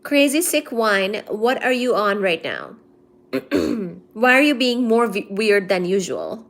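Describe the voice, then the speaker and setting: A young woman from India hosting a casual livestream, chatting with viewers and reading their comments aloud in a relaxed, low-energy mood. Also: slow pace. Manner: chatty, teasing, reads chat aloud and answers it. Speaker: livestream host. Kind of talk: casual live-stream chat with viewers.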